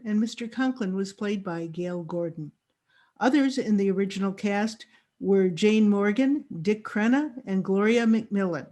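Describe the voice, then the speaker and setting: A woman works as announcer, reading from a script. Her voice is mid-pitched, and she delivers formal introductory narration for a radio drama. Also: darkish tone. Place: recorded remotely on a video call.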